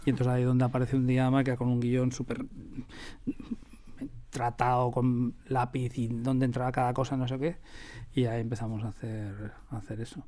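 A middle-aged man with a Castilian Spanish accent. His voice is warm, dark and medium in pitch, with little melodic movement. He recounts things conversationally in a studio interview. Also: little pitch movement, normal speed. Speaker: radio drama director, interview guest. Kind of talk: conversational storytelling. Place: radio studio.

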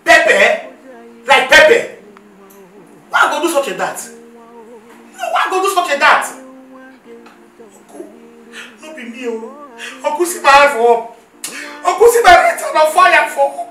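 A woman playing a young girl with a Nigerian Pidgin accent, loudly denying blame. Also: fairly high pitch, steady tone, unhurried, pauses often, far from the mic, natural voice. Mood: protesting. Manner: shouting, dramatic denial. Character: young girl accused, loud and protesting. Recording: echoey room, voice off-mic, music behind.

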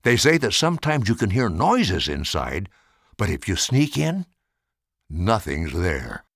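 Guy doing a mean taunting kid in a storybook, super animated. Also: on the low side, unhurried, gravelly, no room sound, clean studio sound, full character voice. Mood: ominous. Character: taunting, spiteful young boy.

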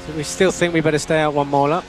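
A man's voice: a little high, flat delivery, fast, noisy background. A man working as a race engineer, giving terse, matter-of-fact instructions over team radio and repeating key phrases. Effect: team radio transmission.

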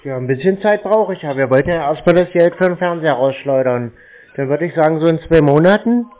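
A man's voice: fairly high pitch, animated, fast. A man in a casual phone conversation, giving short, naive-sounding replies. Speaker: prank caller posing as an interested customer. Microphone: phone call. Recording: tinny narrowband phone line.

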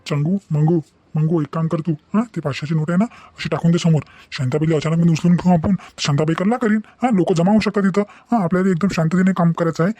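High, quick-talking scheming village crook, acted by a man. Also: warm tone, no room sound, natural voice. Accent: Varhadi Marathi. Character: scheming, bumbling village kidnapper, bossy and grumbling. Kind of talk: comedy cartoon voice acting.

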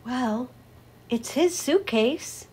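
A woman's voice: sits high, expressive, drawn-out words, warm tone, breathy edge, pitched above the performer's own voice, exaggerated voice. A woman voicing a kind, fair-minded small animal in a storybook, speaking with worried, pleading concern. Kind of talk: storybook read-aloud character voice.

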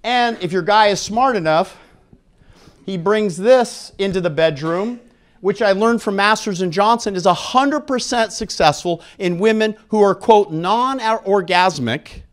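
A man's voice: high-pitched, measured pace. A middle-aged man giving a frank, informal live lecture in a jokey, conversational way, speaking into a handheld microphone. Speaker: conference speaker on sexual health. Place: indoor conference room with seated audience.

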